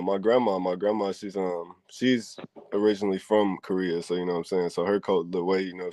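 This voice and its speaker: A young man with a very low voice talks casually as an interview guest. His slang is laid back, and "you know what I'm saying" caps nearly every thought. The sound is dry and close, with no room around it. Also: darkish tone, no echo. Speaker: professional wrestler, guest.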